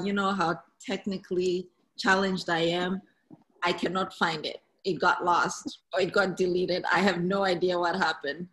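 A woman's voice: medium pitch, sharp tone, poor audio. A woman hosting a podcast, introducing the show and chatting with a guest in an interview style. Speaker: podcast host.

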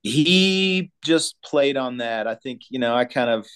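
A singer-songwriter from Colorado, a man, answering interview questions in a laid-back, halting way with many ums. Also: mid-range voice, expressive, a bit bright, clean tone. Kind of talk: casual interview chat.

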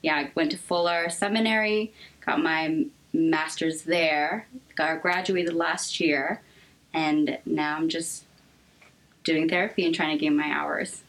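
A young adult American woman talking candidly as an interview guest, thoughtful and halting in her delivery. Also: sits low, fairly even intonation, fairly bright tone, low-quality recording. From United States, raised in Seattle.

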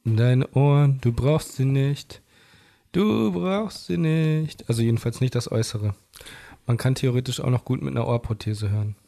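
A slightly hoarse man trading casual podcast banter through a studio mic. His voice is low and velvety, and he delivers absurd jokes with a flat, deadpan air. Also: normal speed. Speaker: podcast co-host. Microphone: studio mic through mixing desk.

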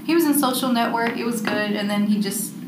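A young woman co-hosts a podcast in loose, rambling chat, dotting her talk with ums and likes. Her voice sits in a roomy space with a steady hum of background noise. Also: on the high side, fairly quick. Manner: chatty, rambling, lots of fillers. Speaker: podcast co-host. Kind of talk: casual chat.

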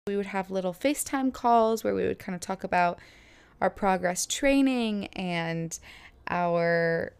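A woman in her twenties from Florida, telling a casual story in a chatty, lively way with many fillers and laughs. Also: mid-range voice, measured pace, creaky, clear, resonant tone. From Florida, USA. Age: 20s. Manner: chatty, animated, lots of "like" and laughs. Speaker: vlogger.

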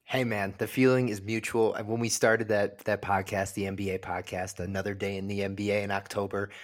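A man from Illinois co-hosts a sports podcast in a lowish voice. He talks quickly and rambles, restarting his sentences often. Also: little pitch movement. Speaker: podcast co-host. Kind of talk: casual sports podcast chat.

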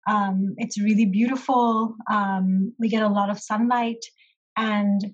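A middle-aged woman from Pakistan, a therapist, talking through an interview in a thoughtful way with many soft pauses and ums. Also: medium pitch, steady tone, muffled recording. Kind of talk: casual interview conversation.

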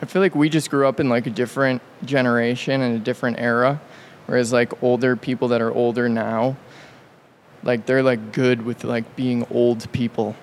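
Podcast guest, man, late 20s, easygoing drawl, mid pitch, light background noise. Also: little pitch movement. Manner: laid-back, drawn-out speech with many likes. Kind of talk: casual chat. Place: large studio.

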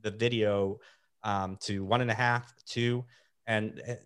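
A man's voice: fairly low pitch, flat delivery, a little slow, fairly bright tone, smooth. A man hosting a casual interview, upbeat and often restarting his sentences. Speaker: host interviewing gym coaches.

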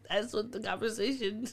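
A woman co-hosting a podcast, teasing her co-host in casual, playful banter. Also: medium pitch.

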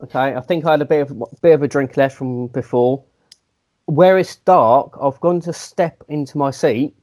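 A man's voice: medium pitch, moderate pace, darkish tone. Rambling man telling stories. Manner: rambling, self-mocking storyteller with restarts. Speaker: podcast co-host. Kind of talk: casual chat, anecdote telling.

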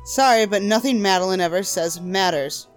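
A snappish, rebellious young teenage girl who brushes everyone off, as a woman puts her on while reading a story aloud. Her voice sits in an ordinary middle pitch. She talks back with curt, dismissive lines. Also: moderate pace, soft background music, close to an everyday voice. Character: rebellious thirteen-year-old girl, sharp-tongued.

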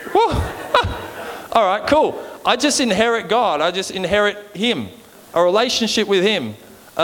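A man's voice: high voice, a bit bright. An Australian man preaching an informal sermon in a conversational way, often checking in with his listeners for agreement. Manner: conversational, seeks agreement with frequent rhetorical check-ins. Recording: long hall reverb.

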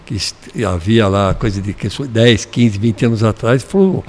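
A man's voice: lowish voice, a little slow. A man in his fifties, a pastor with a São Paulo accent, chatting casually as a podcast guest and telling stories with easy humor. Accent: São Paulo Portuguese. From born in Porto Alegre, raised in São Paulo. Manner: affable, joking storyteller.

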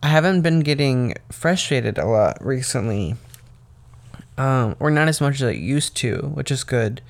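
A young man talking through his own podcast in a loose, rambling way, his voice gravelly and a little raspy. He sounds tired, as if he got up before dawn. His pitch sits in an ordinary middle range for a man. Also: animated, a little slow. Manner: rambling, meandering talk full of "um" and "like". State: tired from waking very early. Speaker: podcast host. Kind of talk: casual solo podcast monologue.

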